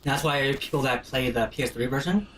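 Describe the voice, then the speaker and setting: A man's voice: mid-range voice, brisk, a bit bright. A man casually explaining things to friends while they play a video game, informal and chatty. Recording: distant mic, faint noise.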